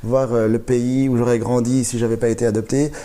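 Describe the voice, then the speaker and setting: Guy in his 40s, warm mellow voice, chatty storyteller, keeps laughing and restarting. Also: mid-range voice, brisk. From born in Rwanda, raised in Belgium. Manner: lively, laughs often, frequent restarts. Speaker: guest, comedian. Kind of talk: casual interview storytelling.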